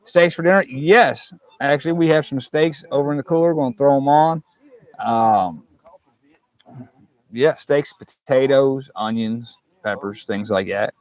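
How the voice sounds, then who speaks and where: A man's voice: medium pitch, a little slow. A man hosting a casual live stream from a campsite, rambling and joking with viewers over a clip-on mic. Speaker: livestream host. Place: outdoors at a campsite. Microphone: clip-on mic with fuzzy windscreen.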